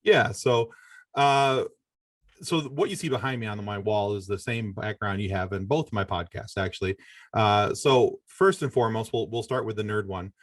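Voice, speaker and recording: A man's voice: lowish voice, moderate pace, dry recording. A man with an American accent chatting casually as a podcast guest, friendly and easygoing. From Michigan, United States. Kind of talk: casual interview chat.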